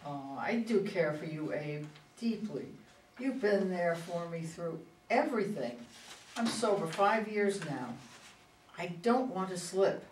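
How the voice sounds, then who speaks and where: A woman's voice: fairly low pitch, animated, unhurried, darkish tone. A woman acting a guarded widowed politician, echoey room. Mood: tender, anxious. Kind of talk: staged play reading. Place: echoey room at a reader's theater. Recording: roomy, distant mic, faint noise.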